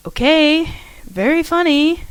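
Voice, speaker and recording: A woman's voice: sits high, lively intonation, takes it slowly, dry recording, voice pushed up. A woman voicing a nervous young female student who calls out with uneasy bravado.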